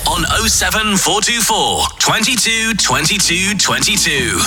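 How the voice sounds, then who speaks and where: Slow, bright-voiced radio jingle man. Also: high-pitched, very animated, soft background music. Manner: slow, drawn-out promo delivery. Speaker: station jingle voice. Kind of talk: produced radio imaging.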